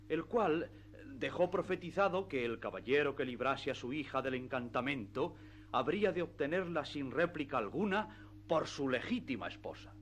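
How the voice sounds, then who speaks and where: A man's voice: mid-range voice, a bit bright, lightly acted. An actor playing a deferential, formal man in a radio drama, speaking in archaic phrases over a faint hum. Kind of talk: scripted radio drama acting.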